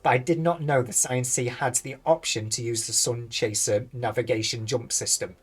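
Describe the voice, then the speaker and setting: British gamer, hoarse and husky, reads patch notes and wanders off, middle-pitched. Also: male voice, steady tone, normal speed, soft background music. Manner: rambling, thinks aloud, loses his words. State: tired, voice going hoarse. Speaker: gaming YouTuber. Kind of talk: reading patch notes with casual commentary.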